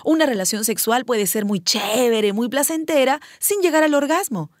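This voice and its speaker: A woman's voice: fairly high pitch, expressive, normal speed, no room sound, clean studio sound. A woman playing a frank, playful doctor who hosts a sex-advice radio show, chatty and emphatic. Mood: frank, reassuring. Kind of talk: radio drama advice programme.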